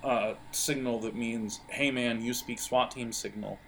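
A man narrating an audio role-playing game as game master, halting as he thinks aloud. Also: medium pitch, fairly even intonation, vocal fry, crowd noise, low-quality recording.

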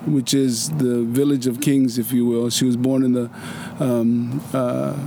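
A man's voice: mid-range voice, little pitch movement, measured pace, vocal fry, a little hiss or hum. A man from Samoa, a guest in a casual interview, explaining things patiently with frequent restarts and a dry humor. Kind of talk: casual storytelling conversation.

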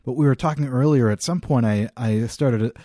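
A man's voice: mid-range voice, normal speed, dark tone, no echo, clean studio sound. A man hosting a podcast in a conversational, announcer-like way. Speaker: podcast host.

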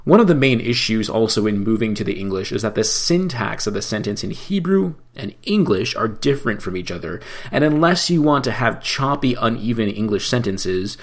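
A man teaching how to chant scripture, explaining slowly and breaking into chanted phrases. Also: on the low side. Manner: slow, measured explanation between chanted phrases. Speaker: cantor teaching Torah chanting.

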